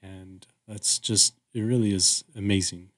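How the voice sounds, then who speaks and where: A man with a fairly low voice speaks as a podcast co-host. He explains his reflections on faith thoughtfully, in an easy conversational style. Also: fairly even intonation, measured pace. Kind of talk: casual reflective religious discussion.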